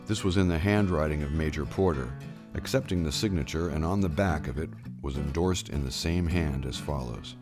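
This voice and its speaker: A man reading a historical first-person memoir as scripted narration, with measured, formal storytelling delivery. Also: rumbling low. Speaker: audiobook narrator. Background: plucked guitar music.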